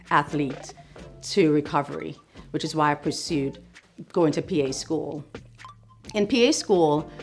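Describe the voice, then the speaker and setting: Middle-aged physician assistant from Trinidad and Tobago, low-pitched, reflective and encouraging, over faint music. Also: female voice. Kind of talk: speaking to camera about her work.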